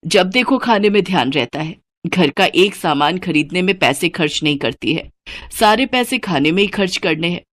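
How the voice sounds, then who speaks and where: A woman's voice: fairly low pitch, lively intonation, moderate pace, fairly bright tone, no room sound. A woman voicing a frugal, reproachful elderly mother-in-law in a cartoon moral story, with a weary, scolding manner. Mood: complaining.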